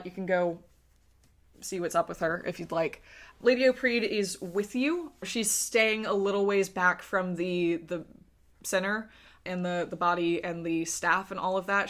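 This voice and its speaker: A woman narrating a tabletop game as game master, conversational and pausing to search for words. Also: on the low side, fairly bright tone.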